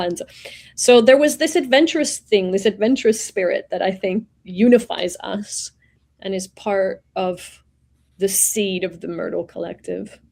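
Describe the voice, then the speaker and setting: Woman in her forties, from Venezuela, interview guest, thoughtful, unhurried, mid-pitched voice. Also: gravelly. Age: 40s. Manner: reflective, searching for words, gentle pace. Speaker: guest, executive coach. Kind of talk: conversational interview.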